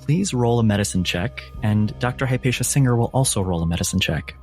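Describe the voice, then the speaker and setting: A man narrating a tabletop roleplaying game as game master, in descriptive, measured storytelling. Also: mid-range voice, animated, a little mellow, soft background music.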